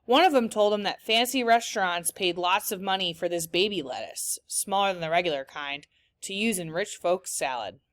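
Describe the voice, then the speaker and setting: A woman teacher reading a children's novel aloud, with clear storytelling after a few discussion questions. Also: fairly low pitch, expressive, normal speed, a bit bright, dry recording.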